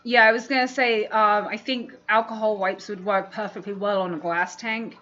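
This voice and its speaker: Casting: female livestream host, London accent, chatty and rambling, mid-pitched voice, dull lo-fi audio. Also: fairly even intonation, normal speed, fairly bright tone. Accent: London English. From London, England. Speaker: livestream host, fishkeeping hobbyist. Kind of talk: casual livestream chat answering viewers.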